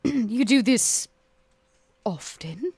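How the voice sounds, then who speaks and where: Female player, slow and measured, animated pitch, dry sound. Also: husky, no echo. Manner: measured, probing questions. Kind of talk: in-character roleplay.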